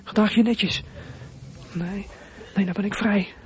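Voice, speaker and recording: A man's voice: high voice, steady tone, unhurried, a little hiss or hum. A young man playing a troubled, resentful teenage runaway in a radio drama, muttering his thoughts and flaring up in indignation. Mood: defiant, resentful. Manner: muttering to himself, bursts of indignation. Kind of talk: radio drama acting with inner monologue.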